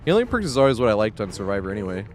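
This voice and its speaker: A man's voice: on the low side, sing-song, very fast. A man live-streaming a game, giving laid-back, casual commentary with some swearing and talking half to himself.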